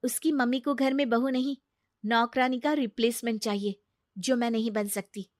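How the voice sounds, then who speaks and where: A woman's voice: on the high side, fairly even intonation, normal speed, no room sound, studio quality, lightly acted. A woman voicing a kind, headstrong, independent young woman in an audio story. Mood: firm.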